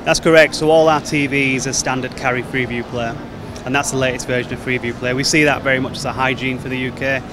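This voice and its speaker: A man in his thirties talks up his company's TVs in a smooth, promotional way, his pitch sitting in an ordinary middle range. He is recorded on a handheld mic at a crowded trade show, with plain, steady hall noise running behind him. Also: quick pace. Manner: fluent, promotional, product-focused. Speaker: company marketing manager. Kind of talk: trade show interview answers. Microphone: handheld mic held by interviewer. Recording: noisy trade show floor. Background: crowd chatter and hall noise.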